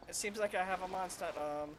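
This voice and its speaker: A woman's voice: fairly low pitch, a little fast, background noise. A woman giving casual live commentary as a game streamer.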